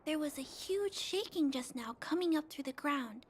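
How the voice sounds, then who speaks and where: A woman's voice: high voice, animated, moderate pace, a little hiss or hum. A woman playing a shy, sweet little girl in a video game, speaking hesitantly. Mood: timid, curious.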